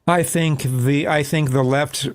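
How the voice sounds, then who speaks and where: Halting, thoughtful man, slightly high voice. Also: steady tone. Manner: halting, thoughtful, many restarts. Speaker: guest panelist. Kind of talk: casual political chat.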